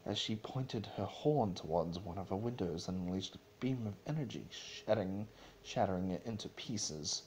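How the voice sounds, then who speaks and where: A man narrating a story as a dramatic reading, in a solemn and somewhat halting way. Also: low-pitched, lively intonation, a little mellow, a little hiss or hum, low-quality recording.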